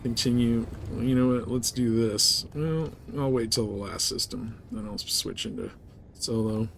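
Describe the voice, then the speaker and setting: A man's voice: mid-range voice, normal speed, gravelly, slight background noise. A man livestreaming a game, chatting casually and thinking aloud in a rambling way. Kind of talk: casual gameplay stream chat.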